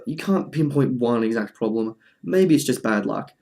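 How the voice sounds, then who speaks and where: Mellow-voiced man giving casual commentary. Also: mid-range voice, expressive, normal speed, vocal fry. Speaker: presenter of a film review video essay.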